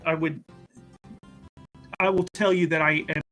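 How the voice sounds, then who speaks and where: A self-important, smooth-talking schemer acted by a man in a tabletop roleplaying game. His voice is bright and somewhat high for a male, grandiose yet halting and evasive. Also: fairly even intonation, soft background music, poor audio. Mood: boastful, grave. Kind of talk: improvised in-character tabletop roleplay.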